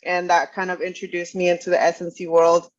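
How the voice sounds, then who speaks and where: A woman's voice: lowish voice, completely flat delivery, fairly quick, dull audio. A woman raised in Mexico, a strength coach, talking easily about her career and joking. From Mexico, Ciudad Juarez. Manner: easygoing, joking.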